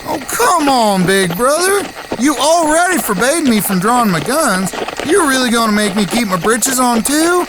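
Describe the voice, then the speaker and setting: A brash younger-brother gunslinger from a Western audio drama, acted by a man. His folksy voice sits high for a man and is hot-headed in temper. Clear background noise of crickets and night air surrounds him. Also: sing-song, moderate pace, few pauses. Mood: pleading, whiny. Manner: folksy, drawling rural speech. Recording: noisy track with cricket-filled outdoor ambience.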